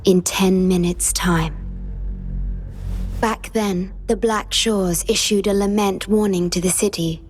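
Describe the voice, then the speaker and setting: An actress playing a gentle, solemn guardian with a measured, soft delivery in a video game. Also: female voice, mid-range voice, steady tone, faint music behind, natural voice.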